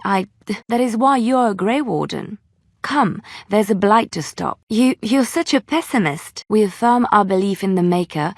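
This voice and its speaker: Young female bard played by an actress, fantasy game. Devout, wistful, emotive, mid-pitched, recorded dry. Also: no room sound, natural voice. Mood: measured. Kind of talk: dramatic fantasy game dialogue acting.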